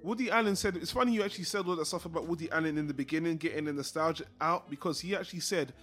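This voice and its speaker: A man hosts a casual film podcast with a blokey, informal delivery. His voice sits a little higher than most men's. Music plays faintly behind him as he talks. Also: racing, fairly bright tone. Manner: blokey, informal, swearing banter. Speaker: podcast host.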